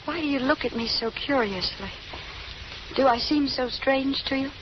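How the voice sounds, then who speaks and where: A troubled, guarded young woman on the run played by an actress, high-voiced and hesitant, with earnest broken phrases. It is 1930s radio melodrama, muffled and clearly noisy. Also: normal speed, close to an everyday voice. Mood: evasive.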